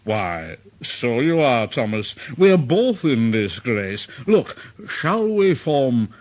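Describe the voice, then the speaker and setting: A man voicing a big, proud, grown express engine in a storybook reading, in a deep, chuckling, hearty voice. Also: big pitch swings, slow pace, darkish tone. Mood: friendly.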